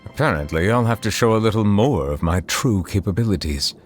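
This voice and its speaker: A man plays a solemn dragon judge in human form from a video game, with a deep voice. His delivery is formal, grave and measured, with dignified poise. Soft music sits faintly behind him. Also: animated, natural voice. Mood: solemn, resolute. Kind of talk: scripted video game character voice lines.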